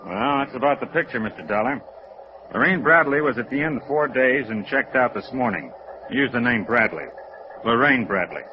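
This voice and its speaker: An actor playing a steady, plain-spoken American sheriff's deputy in a 1950s radio drama, talking in an unhurried way. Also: male voice, mid-range voice, soft background music, lightly acted. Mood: matter-of-fact. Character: laconic, steady male sheriff's deputy in a beach town. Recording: dull, noisy vintage radio recording.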